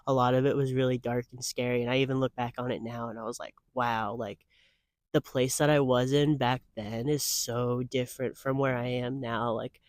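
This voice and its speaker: A man's voice: mid-range voice, flat delivery, normal speed, no echo, clean studio sound. A man of twenty-five answering interview questions in a casual, earnest way, rambling with lots of "like" fillers. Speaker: guest.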